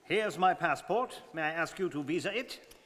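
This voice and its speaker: A man playing a precise, courteous, unruffled English gentleman traveller in an old-time radio drama. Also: on the high side, lively intonation, moderate pace. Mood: courteous, cool. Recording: long hall reverb on stage voices.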